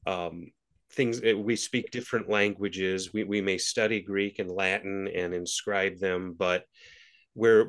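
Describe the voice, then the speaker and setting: A man giving a measured explanation, clearing his throat now and then and restarting phrases. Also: low-pitched, fairly bright tone. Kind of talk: thoughtful explanation.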